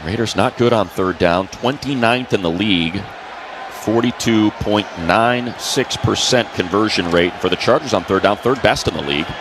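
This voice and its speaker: Male football play-by-play announcer, low pitch, clipped descriptive delivery, crowd roar, background noise. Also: moderate pace. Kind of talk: live American football radio play-by-play commentary. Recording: crowd and ambient noise behind. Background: stadium crowd noise.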